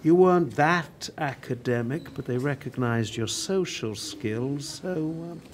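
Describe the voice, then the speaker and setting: Actor as a slow-spoken, proud older writer. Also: male voice, medium pitch, slight background noise, close to an everyday voice. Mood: wry, sardonic. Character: dying older working-class television writer, proud, prickly, reflective. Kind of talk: radio play acting.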